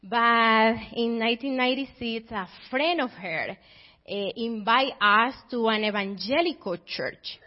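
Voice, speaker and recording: Slow-speaking Colombian woman. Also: fairly high pitch, lo-fi sound. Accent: Colombian Spanish. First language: Spanish. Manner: warm, laughing, slightly halting with fillers. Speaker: missionary. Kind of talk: church testimony.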